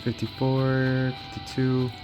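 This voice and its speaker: A man's voice: mid-range voice, completely flat delivery, slow pace, over music. A man giving casual, off-the-cuff commentary as he tests gaming gear, muttering to himself as he works. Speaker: gaming handheld reviewer.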